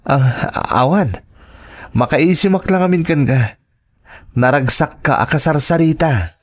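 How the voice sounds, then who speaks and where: A man's voice: a little high, a little slow. A man with an Ilocano accent acting expressively in a family radio drama. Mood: flirtatious. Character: male characters in a family and romance drama.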